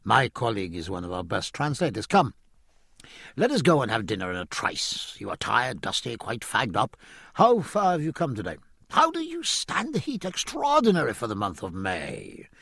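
A man's voice: normal speed, vocal fry, husky, no room sound, clean studio sound, full character voice. A man voicing an effusive, flamboyant naval captain. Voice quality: raised pitch, lively and flamboyant.

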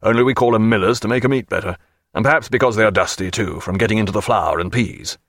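A man's voice: lowish voice, quick pace, vocal fry, a bit bright, dry recording, studio quality. A man voicing a genial, hearty naval captain with a bluff, courteous, old-fashioned way of talking, in an audiobook. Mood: genial, reminiscing.

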